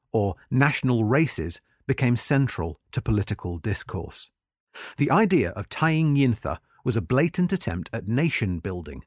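A man reading a scripted article aloud as narrator, in a measured, formal and articulate manner. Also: mid-range voice, no room sound, studio quality.

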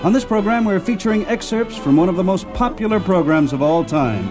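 A man's voice: high voice, animated, fairly quick. Male radio host with music behind. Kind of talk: scripted introductions and interview.

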